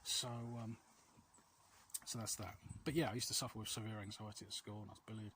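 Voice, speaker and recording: A man chatting casually and confessionally while out walking, hesitant, rambling and self-deprecating, recorded outdoors on a quiet street. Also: on the low side, steady tone, fast. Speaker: vlog host. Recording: noisy outdoor recording with clicks.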